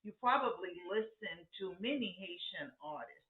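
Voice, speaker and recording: A woman's voice: mid-range voice, animated, moderate pace, soft background music. A woman hosting a casual conversation, guiding it along and summing up her guest's points. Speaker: host. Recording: echoey, dull room sound.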